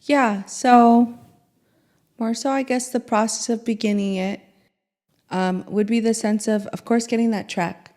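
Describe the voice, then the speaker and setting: A woman's voice: fairly high pitch, fairly even intonation, a little slow, a little mellow. A woman music mentor explaining her recording process in a chatty, step-by-step way. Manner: chatty, laughs easily, step-by-step. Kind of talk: casual teaching.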